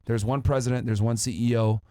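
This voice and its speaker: A male host pushes back on his guest in blunt, challenging questions and cuts in often. He talks quickly in a mid-range voice. The recording is dry and close. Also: medium pitch, fairly even intonation, dark tone, no echo. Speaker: podcast host. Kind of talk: casual debate talk.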